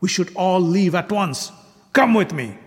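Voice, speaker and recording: A man's voice: high-pitched, lively intonation, unhurried, voice pushed up. A man voicing a furious, arrogant king who hurls scornful insults in a retold legend. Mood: rousing. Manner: scornful, ranting accusations. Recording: long hall reverb.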